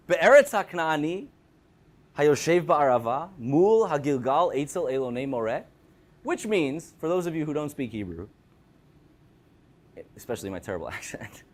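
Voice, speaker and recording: A man giving a jokey, conversational Torah talk at a school, acting out the people in the story. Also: high-pitched. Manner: jokey, conversational, acts out voices. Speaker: Torah teacher.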